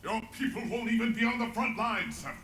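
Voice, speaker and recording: An actor playing a stern, forthright war planner in a fantasy game, with formal phrasing. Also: male voice, very high-pitched, quick pace, sharp tone, reverberant, slight background noise, exaggerated voice. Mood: indignant. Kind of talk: dramatic fantasy game voice acting.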